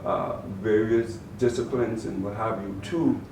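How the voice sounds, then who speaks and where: A man with a Bahamian accent, a guest in an interview, answering in a measured way and often repeating words as he gathers his thoughts. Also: fairly low pitch, steady tone, a little mellow. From Turks and Caicos Islands, raised in the Bahamas. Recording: roomy, distant mic with faint noise.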